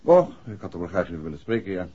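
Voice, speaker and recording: A courteous, shrewd detective in a 1960s radio drama, with a Netherlands Dutch accent. The voice is very hoarse-grained and moves animatedly in pitch. He probes politely but directly, and the old recording is dull. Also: big pitch swings, fast talker, dark tone, exaggerated voice. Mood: probing, direct. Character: shrewd, courteous gentleman detective investigating a case.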